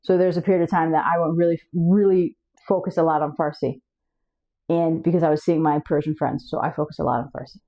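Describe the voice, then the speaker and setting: A woman's voice: sits low, fairly even intonation, fast talker, highs cut off. A woman hosting her own channel, talking casually to camera about raising kids with several languages, often restarting words and checking her notes. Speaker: language coach and channel host.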